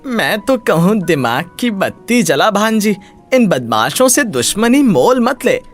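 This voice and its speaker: A man voicing an anxious, folksy uncle who pleads and cautions in an animated moral story. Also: high-pitched, unhurried, soft background music. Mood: pleading. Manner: pleading, folksy catchphrase talk.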